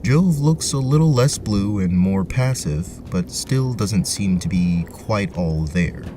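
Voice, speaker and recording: A man's voice: fairly low pitch, lively intonation, slow, warm tone, a little hiss or hum. A man narrating a scripted tabletop fantasy story as its storyteller. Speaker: narrator, game master.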